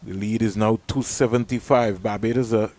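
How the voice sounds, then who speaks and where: A man giving measured live cricket commentary, reading out scores and ball-by-ball play. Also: fairly low pitch, steady tone, darkish tone, a little hiss or hum.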